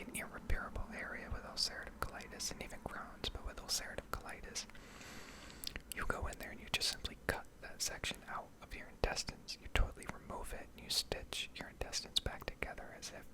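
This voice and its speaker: A woman speaking in a soft, breathy whisper close to the microphone, rambling and explaining in a hesitant ASMR style. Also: low-quality recording. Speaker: ASMR video creator.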